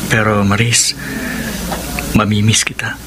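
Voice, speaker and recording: Actor as lovelorn Filipino friend, noisy background. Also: male voice, lowish voice, flat delivery, a little slow, close to an everyday voice. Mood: wistful, resigned. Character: lovelorn, accepting male Filipino friend, overseas worker. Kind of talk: radio drama acting.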